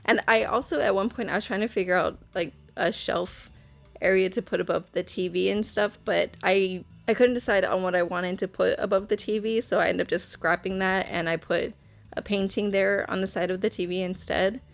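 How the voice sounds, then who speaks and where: A woman's voice: mid-range voice, steady tone, moderate pace, gravelly. A young woman chatting nervously in a voice-over recorded on her phone in her bedroom, rambling with lots of fillers and restarts. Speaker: Sims speed build creator. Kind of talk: casual voice-over chat. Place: bedroom at home. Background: soft background music.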